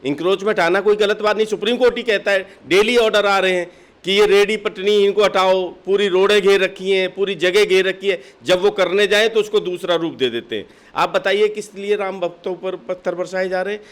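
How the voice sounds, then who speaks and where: A man with a notably high voice speaks as a political official in an interview. He is argumentative and insistent, pressing his case with one rhetorical question after another. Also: fairly even intonation, fairly quick. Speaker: political party district official being interviewed. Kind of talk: impassioned interview answers.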